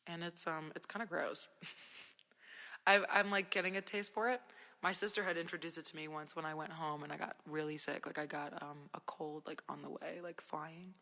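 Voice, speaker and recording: Young woman from Jersey, voice worn hoarse after a cold; easygoing, rambling livestream chatter with lots of fillers, a long echo around her. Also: low-pitched, steady tone, moderate pace, sharp tone. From New Jersey. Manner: laid-back, rambling, many "like" and "um" fillers. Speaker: independent musician livestreaming. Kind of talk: casual livestream chat with viewers.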